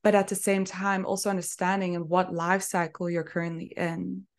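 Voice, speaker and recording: A young woman from Belgium speaks as a guest on a remote call, gushing and warm in her wording. Her voice sits fairly low for a woman. She talks in a relaxed, chatty interview style with soft laughs. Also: normal speed. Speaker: astrologer and business mentor, guest. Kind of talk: casual interview chat.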